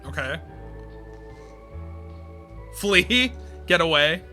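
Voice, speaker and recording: A man's voice: fairly high pitch, expressive, very slow, bright, forceful tone, faint music behind. A young man giving blunt, casual reaction commentary as a video host, recorded in a small room.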